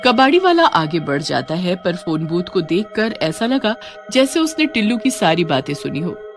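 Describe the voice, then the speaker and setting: A woman's voice: on the low side, sing-song, normal speed, soft background music. A woman reading scripted horror story narration as the narrator.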